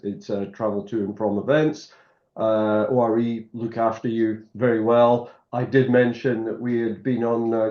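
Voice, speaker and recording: A man on a video call sharing his experience as a former programme participant, measured and a little halting. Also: on the low side. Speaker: programme alumnus. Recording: roomy dull call audio.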